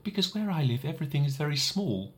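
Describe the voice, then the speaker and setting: Man putting on a little boy's voice, high, earnest, patient, grave, storybook, lively. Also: quick pace. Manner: high, soft, patient and serious. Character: small earnest boy from a tiny world, grave and insistent. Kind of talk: audiobook character voice.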